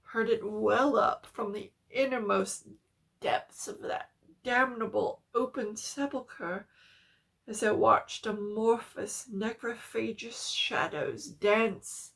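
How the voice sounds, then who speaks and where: Slow, higher-pitched woman reading horror. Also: creaky, a bit bright. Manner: theatrical, halting dramatic pauses. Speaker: storyteller, narrator. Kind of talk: dramatic reading of a horror story.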